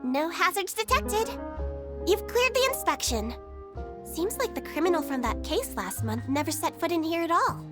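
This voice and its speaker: Very high, innocent little creature. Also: female voice, sing-song, moderate pace, music in the background. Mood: earnest, proud. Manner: guileless, earnest, childlike. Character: innocent childlike female magical creature, eager and sweet. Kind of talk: game character acting.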